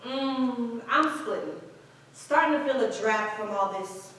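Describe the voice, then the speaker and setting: A woman's voice: fairly high pitch, expressive, measured pace, fairly bright tone, a little hiss or hum. A woman in a staged play reading playing a proud, defiant nightclub singer with a slangy, cutting, sardonic manner. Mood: weary, sardonic. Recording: distant, very echoey room.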